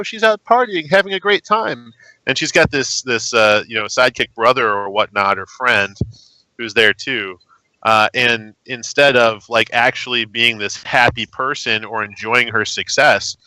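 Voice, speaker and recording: Bright-voiced animated man on a podcast. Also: no room sound, rough recording. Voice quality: baritone. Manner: animated storyteller, jokey. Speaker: podcast co-host. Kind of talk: casual gaming podcast chat.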